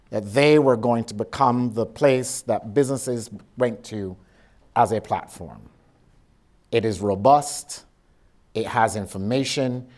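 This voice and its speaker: A man's voice: lowish voice, darkish tone. Sassy Barbadian man giving a keynote. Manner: blunt, sassy, joking asides. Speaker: keynote speaker. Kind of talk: lively conference keynote with audience interaction.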